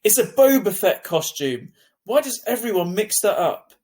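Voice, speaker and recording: A man's voice: high voice, big pitch swings, normal speed, darkish tone, voice pushed up. A man voicing an upset, angry ten-year-old boy, bitter and hurt, in a children's novel read aloud. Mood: furious shrieking. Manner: sullen short answers rising to shrieking.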